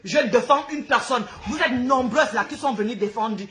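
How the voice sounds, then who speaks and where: A woman's voice: on the high side, moderate pace, fairly bright tone. A woman with a Gabonese accent, a native Fang speaker, ranting live to her followers in an outspoken, combative, repetitive way. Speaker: social media host.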